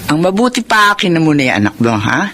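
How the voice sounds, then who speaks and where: A woman's voice: low-pitched, very animated, fast talker, fairly bright tone. Motherly older filipino auntie, kind and fussy, radio drama, noisy background. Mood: caring. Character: kindly, motherly older neighbour woman, fussing. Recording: noisy ambience behind voice.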